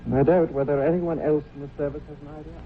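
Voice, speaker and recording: An actor playing a grave, courteous British navy captain in a vintage radio drama, speaking in a formal, measured, precise way. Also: male voice, on the high side, steady tone, close to an everyday voice. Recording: tinny, noisy old radio recording.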